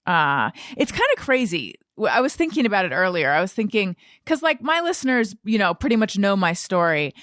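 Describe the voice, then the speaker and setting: A woman's voice: medium pitch, very animated, a little fast, hardly any pauses, a bit bright, no room sound, studio quality. A middle-aged American woman hosting a podcast, chatting casually in a rambling, self-deprecating way. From Orange County, United States. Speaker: podcast host. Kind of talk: casual conversational interview.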